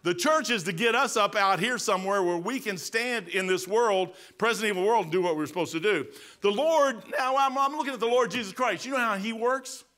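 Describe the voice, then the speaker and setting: A man's voice: sits high, brisk, bright, forceful tone. A middle-aged man preaching a sermon in a church sanctuary, talking in an emphatic, conversational way with repeats and restarts. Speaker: pastor. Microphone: pulpit microphone, far camera.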